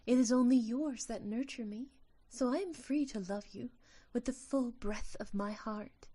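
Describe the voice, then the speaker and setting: A woman's voice: on the high side, measured pace, warm tone, breathy edge. A woman playing a tiny, affectionate sylph in an audio drama, innocent and cryptic, with precise, formal phrasing. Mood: tender.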